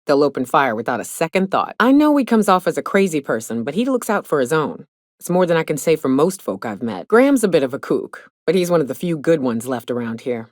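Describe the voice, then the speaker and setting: A hard-bitten wilderness guide in a video game, pitched low for a woman and swinging freely in tone. She is blunt, cynical and plainspoken, with rustic turns of phrase and a close, dry studio sound. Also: no echo. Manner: wry, plainspoken, swearing, folksy rustic phrasing. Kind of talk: video game character dialogue.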